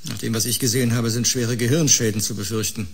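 A man's voice: medium pitch, fairly even intonation, fast, slight background noise, lightly acted. An actor playing a curious, skeptical male scientist in an audio drama, musing and inquisitive. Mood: curious, concerned.

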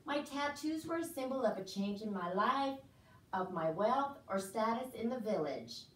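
A woman's voice: fairly high pitch, animated, normal speed, lightly acted. A woman voicing a proud, cheerful puppet woman who explains things to an audience with exclamations and questions in an educational show. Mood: proud, explaining. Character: proud, cheerful Chugach Sugpiaq woman puppet in fur parka. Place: indoor room, puppet stage. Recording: roomy, distant mic, faint noise.

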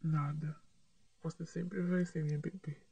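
Girl voicing a gentle dad just out of a scare, soft half-whisper, breathy, slow. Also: low-pitched, level tone, no echo, recorded from a distance, full character voice. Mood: reassuring. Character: gentle middle-aged father recovering in hospital.

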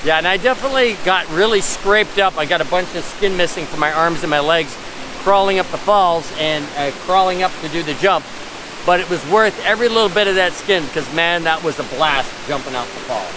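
A middle-aged man vlogging outdoors, talking casually and coaxing playfully. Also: a little high. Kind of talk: casual travel vlog. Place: outdoors by a waterfall. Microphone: camera held at arm's length. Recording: rushing waterfall noise behind. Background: waterfall.

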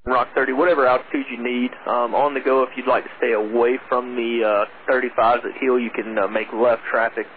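Fast-talking male air traffic controller on radio. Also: medium pitch, level tone. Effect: aviation radio. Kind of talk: radio instructions to pilots. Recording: tinny narrowband radio with static. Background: radio noise.

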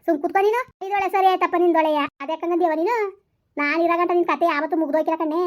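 A woman's voice: high-pitched, lightly acted. A woman voicing a scheming rural mother who scolds and reassures her daughter while plotting revenge. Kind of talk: village family drama acting.

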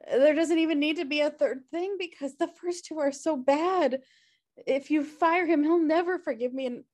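A woman acts out a messy, self-deprecating sister in a sitcom. Her voice sits a little high. She rambles and hesitates with guilty, wry candor. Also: a little mellow, lightly acted. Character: messy, guilt-ridden, wry younger-at-heart woman pleading for her brother. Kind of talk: table read of a sitcom pilot. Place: home room on a video call.

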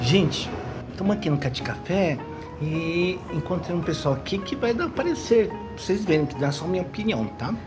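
Brief: older Brazilian man, chatty selfie vlogger, very animated pitch, music underneath. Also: normal speed. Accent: Brazilian Portuguese. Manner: chatty, easily delighted, talks to viewers. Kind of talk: casual vlog chat. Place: cat café indoors. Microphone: phone held at arm's length. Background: background music.

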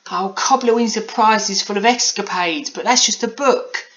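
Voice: medium pitch, animated, moderate pace, bright, forceful tone, dull audio, voice pushed up. A storybook reader voicing an earnest, wistful nine-year-old girl who exclaims and is easily amazed. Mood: bored, wistful.

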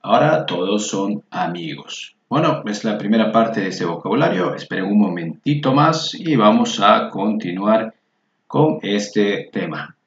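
Slow, patient male Spanish teacher. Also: fairly low pitch, animated, a little hiss or hum. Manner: slow, patient, spells out word forms aloud. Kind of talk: slow screen-share lesson walkthrough. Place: voice-over on a screen recording. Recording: roomy, dull lo-fi recording.

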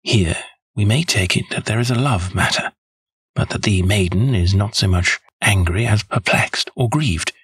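A man's voice: fairly low pitch, moderate pace, fairly bright tone, breathy edge, dry recording. A man voicing a shrewd, composed detective in an audiobook, speaking precisely and with assurance. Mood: assured, analytical. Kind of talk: audiobook character voice.

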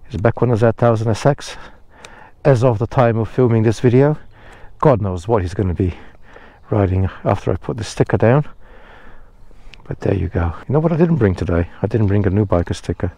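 Man vlogging outdoors, low mellow voice, rambling and self-deprecating, dry close sound. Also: dry recording. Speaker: motorcycle vlogger. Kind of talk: casual vlog talk to camera. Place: outdoors by a roadside phone box. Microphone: head-mounted action camera.

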